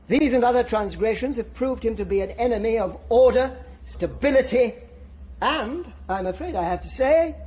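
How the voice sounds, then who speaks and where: An actor playing a pompous, officious director in a radio drama, speaking formally and with authority. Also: male voice, high voice, darkish tone, breathy edge. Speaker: director of a hatchery.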